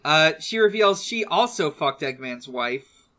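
A podcast co-host casually recapping a story among friends, in a halting way with drawn-out pauses. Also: mid-range voice, expressive, sharp tone.

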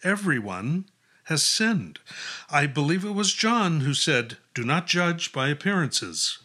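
Middle-aged priest and headmaster, audio drama, forceful bright tone, weary, exasperated, admonishing. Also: male voice, fairly high pitch, big pitch swings, slow, exaggerated voice.

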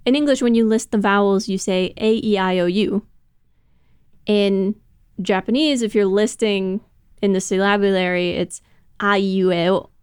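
Lady with a crisp voice chatting about old films, dead dry mic, laughs a bit as she goes. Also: medium pitch, fairly even intonation, normal speed, dry recording. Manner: informative, laughs lightly while talking. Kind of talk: casual analytical chat.

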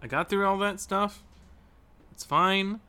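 A man's voice: high voice, slow pace, pushed and bright, slight background noise. A man talking casually and jokily about video games as a podcast host, with informal, sweary phrasing. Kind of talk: casual video game discussion.

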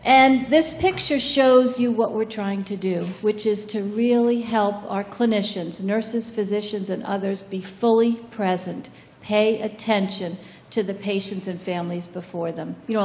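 A woman dean introducing a panel and talking about her program, pausing often on "um". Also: mid-range voice, a little mellow, in a large hall, slight background noise. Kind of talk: panel introduction and short talk. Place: large lecture room.

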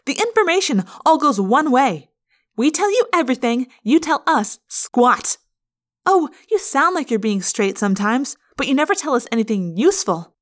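A woman plays a confrontational teenage girl in an audiobook. The voice is raised and higher in pitch, with a blunt, snapping attack. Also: very animated, moderate pace. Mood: scornful. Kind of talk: audiobook character voice.

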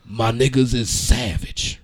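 A man co-hosting a podcast, giving a profane, slang-heavy mock-dramatic reading with theatrical pauses. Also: mid-range voice, fairly even intonation, crowd noise. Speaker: podcast co-host.

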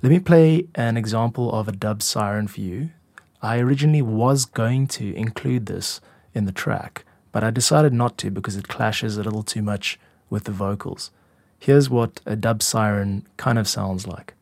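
A man's voice: on the low side, moderate pace, vocal fry, darkish tone, no echo. A man giving an informal, instructive tutorial as a music producer and podcast host. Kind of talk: explanatory tutorial narration.

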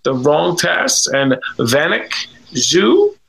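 A man in his thirties talks loosely over a video call. His voice is gravelly and bright, and he rambles and backtracks with plenty of "uh"s as he sizes up contestants. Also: a little high, very animated, rough recording. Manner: rambling, halting with many fillers. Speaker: livestream co-host. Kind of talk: casual chat about reality TV contestants.

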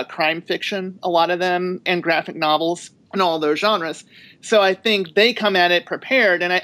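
A woman horror author calmly explaining her work in a podcast panel discussion. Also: fairly low pitch, dry recording. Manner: reflective, thoughtful, steady explaining.

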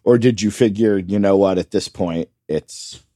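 A man co-hosting a casual podcast, chatty and curious, asking lots of questions and filling in with quick replies. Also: deep voice, dark tone, no echo, studio quality. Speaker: podcast co-host. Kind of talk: casual chat.

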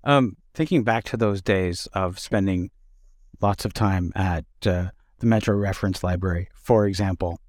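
Low-voiced, halting man hosting. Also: a little mellow, dry recording. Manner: halting, searching for words. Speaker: interview host. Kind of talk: casual interview chat.

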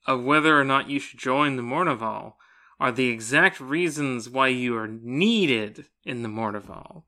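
A man's voice: medium pitch, unhurried, pushed and bright. A man co-hosting a podcast, talking casually about a book and retelling it with acted-out quotes. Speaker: podcast co-host.

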